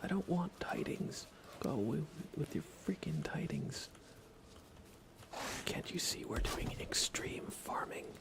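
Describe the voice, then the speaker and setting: A man giving hushed, soft-spoken ASMR gaming commentary as a live streamer, often dropping into a whisper. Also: medium pitch, huge pitch swings, slow, creaky. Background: music.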